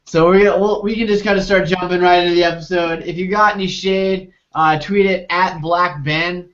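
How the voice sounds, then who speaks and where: A man in his twenties hosts a relaxed group chat. His voice sits a little high for a man, and the video call audio is slightly muffled. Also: moderate pace. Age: 20s. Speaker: podcast host. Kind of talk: casual group recap chat.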